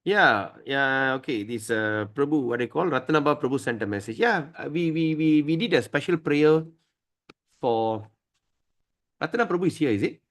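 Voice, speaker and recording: A man's voice: on the high side, animated, moderate pace, fairly bright tone. A man teaching a scripture study class, emphatic and often asking his listeners "right?".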